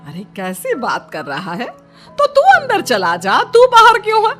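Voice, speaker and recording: A woman's voice: extremely high pitch, huge pitch swings, unhurried, a bit bright, faint music behind, voice pushed up, full character voice. A woman voicing an outspoken, doting older Indian mother-in-law in a cartoon story, exclaiming and scolding. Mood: indignant.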